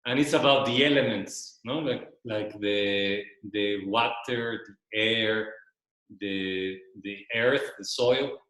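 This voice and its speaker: Man, Spanish first language, slow and searching casual presenter, recorded in a very echoey room. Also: fairly low pitch, a bit bright. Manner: slow, searching, repeats words while thinking. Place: loft room with a small audience.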